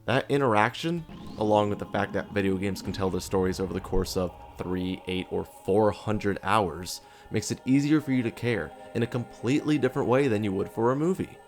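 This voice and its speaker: A man's voice: on the low side, brisk, hardly any pauses, soft background music. A man narrating a video essay in a casual, conversational way, sarcastically asking questions and answering them himself.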